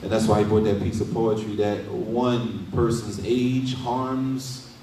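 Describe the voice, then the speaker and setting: A man's voice: lowish voice, steady tone, takes it slowly, mellow, a little hiss or hum. Middle-aged man teaching in an echoey room. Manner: conversational, asks rhetorical questions with frequent "right?". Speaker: imam giving a lesson. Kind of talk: casual Islamic lecture. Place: small indoor study room. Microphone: desk mic on a stand. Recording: echoey room, mic at a distance.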